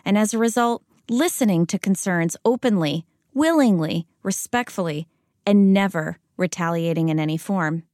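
A middle-aged woman from Spain with an American accent, answering interview questions as a corporate executive in a measured, deliberate way. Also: on the low side, a little mellow, dry recording, studio quality. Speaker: corporate chief integrity officer.

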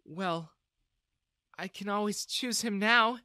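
A man voicing a gentle, apologetic middle-aged mother in an animated story. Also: high-pitched, takes it slowly, clear, resonant tone, dry recording, studio quality, pitched above the performer's own voice, heavily acted. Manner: soft, apologetic.

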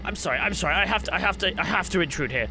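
A man's voice: sits high, animated, racing, background noise. A man improvising in character in a tabletop game, dramatic and exasperated in a skeptical way. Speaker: tabletop role-playing player.

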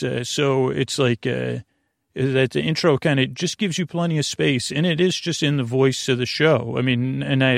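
Creaky-voiced man rambling gently. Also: mid-range voice, no room sound, studio quality. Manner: meandering, hesitant, goofy, circles back on himself. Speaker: podcast host. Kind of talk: rambling bedtime sleep podcast monologue.

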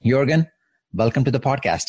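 A man hosting an interview podcast, polite and halting with many fillers. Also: mid-range voice, animated, darkish tone, husky, dry recording. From Canada. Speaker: podcast host.

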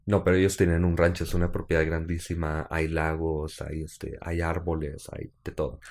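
A man's voice: low voice, flat delivery, moderate pace, darkish tone. A man with a Mexican accent co-hosting a casual live stream, joking with his partner and then narrating a true-crime case. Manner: joking, laughs often, uses slang. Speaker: podcast co-host.

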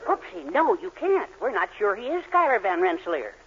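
An actor playing a meek, henpecked husband in a 1940s radio sitcom, hesitant and placating. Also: male voice, high voice, sing-song, a little fast, a little hiss or hum. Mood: timid. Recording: dull 1940s radio broadcast.

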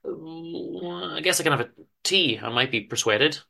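A bright, forward voice for a mild, wistful storybook husband, acted by a man. He stammers and hesitates, chatting on in a mopey but gentle way. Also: a little high, animated, unhurried, creaky, full character voice. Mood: flustered, downcast. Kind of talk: amateur dramatic story reading.

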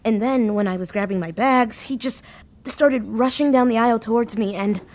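A woman playing an anxious, grief-stricken young woman in an audio drama, speaking haltingly and repeating words. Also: fairly high pitch, a little mellow, lightly acted. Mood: uneasy, halting. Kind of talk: naturalistic audio drama acting.

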